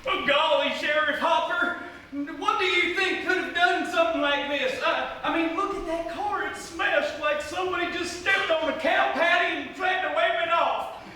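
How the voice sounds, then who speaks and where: An eager, slow-witted American country deputy in a comic radio play. His voice is very high and bright, full of folksy, stammering outbursts. It comes from a distant mic in a very echoey theatre. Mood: alarmed. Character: excitable, dim-witted rural deputy, eager and apologetic. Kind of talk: comedic live radio theatre acting. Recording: echoey live theatre, far mic, faint noise.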